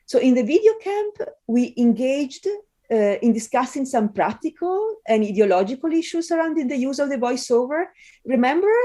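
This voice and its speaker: A woman with an Italian accent speaks as a guest scholar in an interview. Her voice is mellow and a little high, and her pitch moves about a lot. She talks thoughtfully, with pauses and fillers. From Italy. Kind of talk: conversational interview answer.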